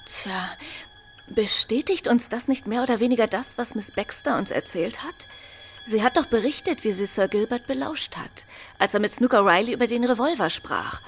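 An actress playing a refined, curious, sharp-witted detective's wife in a German radio play, speaking in a conversational, inquisitive way. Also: female voice, on the high side, expressive, moderate pace, a little hiss or hum. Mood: curious, thoughtful.

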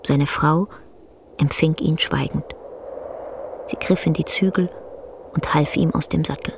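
A woman playing the storyteller of a somber tale, narrating a radio drama in a measured, grave, literary way. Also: sits low, steady tone, background noise.

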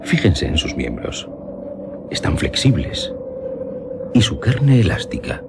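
An actor with a Spain accent playing a grave, clinical doctor, careful and hesitant, in a radio drama. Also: male voice, deep voice, sing-song, takes it slowly, over loud music, heavily acted. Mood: clinical unease. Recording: radio drama with noisy atmospheric backing.

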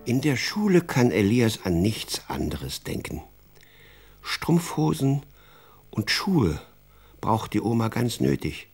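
A man narrating a children's radio play in an expressive, scripted storytelling style with a husky voice. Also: on the low side, slow, faint music behind.

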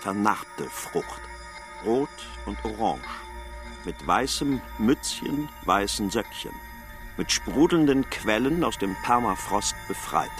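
A man's voice: fairly low pitch, expressive, slow, over music. A man playing a lyrical narrator in a radio drama, reciting poetic description and savouring each phrase.

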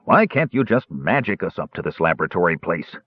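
A large, gruff storybook companion, acted by a man, grumbles in short, uneasy phrases. The voice is deep and rough-edged, with a boxed-in, phone-like sound. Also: huge pitch swings, normal speed, dry recording, full character voice. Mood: grumbling, winded. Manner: grumbling, terse. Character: big gruff man, grumbling, winded, nervously subdued.

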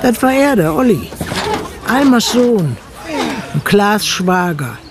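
An actor narrating a radio play as a matter-of-fact, gossipy villager with a dry, colloquial manner. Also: male voice, high-pitched, sing-song, slow pace, over a noisy crowd, exaggerated voice. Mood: wry.

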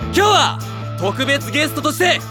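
A man's voice: very high-pitched, very animated, moderate pace, over music, heavily acted. A man playing a bombastic, fiercely loyal teenage boy in an anime drama CD. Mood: grand. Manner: bombastic, declaring. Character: small fiery teen boy, boastful and loyal.

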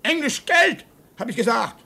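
Shrill, raspy, shifty ship's officer. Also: male voice, very animated, pushed and bright, full character voice. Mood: sly. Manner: quick, evasive, indignant. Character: shifty, denying ship's officer Mister Dills. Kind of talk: radio drama acting.

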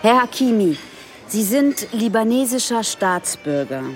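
A woman's voice: fairly high pitch, very animated, a little slow, faint music behind. A woman playing a businesslike police detective around forty in a radio drama, dry, formal and matter-of-fact. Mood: dry, probing. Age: 40s.

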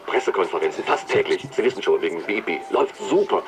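A man acting a radio drama role of an awkwardly hearty company boss, chatty and halting in manner. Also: low-pitched, animated. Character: jovially awkward male company boss or colleague, businesslike. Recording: music behind the voice.

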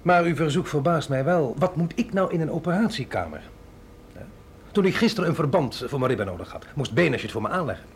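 A man's voice: fairly high pitch, expressive, brisk, slight background noise. An actor playing a capable, reluctant but dutiful male agent in a radio drama, with a dry, wry manner. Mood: skeptical, irritated. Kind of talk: Dutch radio drama acting.

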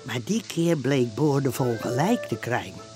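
A man's voice: medium pitch, animated, moderate pace, over music, lightly acted. A man narrating a radio play in a storytelling style.

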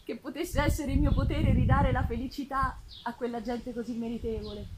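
A woman reads gothic fiction in the first person to a live festival. Her voice sits a little high and her delivery is expressive and measured, with dramatic pauses. The live recording is clearly noisy, with small clicks. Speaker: actress giving a literary reading. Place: live performance at a theatre festival.

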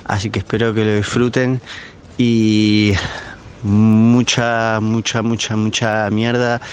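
A man leaving a casual recorded voice message, deadpan, with hesitant pauses. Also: fairly low pitch. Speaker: show's DJ sending an audio message. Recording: voice message with background noise.

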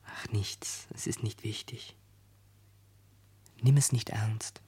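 A man's voice: fairly low pitch, fairly even intonation, slow, mellow, breathy edge, no room sound, in a whisper, heavily acted. A man playing a schoolgirl's protective, imaginative older brother in a 1970s German radio play. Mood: hushed, bitter.

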